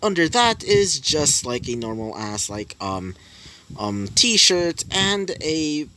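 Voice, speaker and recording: A man improvising a fan story with many fillers and repeated speech tags. His pace is slow and his pitch moves a lot. His voice is bright and slightly high. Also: sing-song, slight background noise, poor audio.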